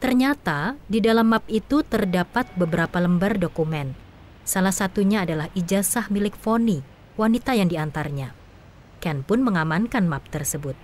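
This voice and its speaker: A woman narrating a scripted audio drama in an even, measured storytelling manner. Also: mid-range voice.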